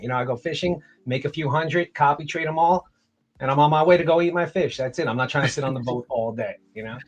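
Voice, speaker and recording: A middle-aged man chatting casually as a guest on a trading livestream, laid-back and rambling, with frequent restarts. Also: mid-range voice. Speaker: guest trader.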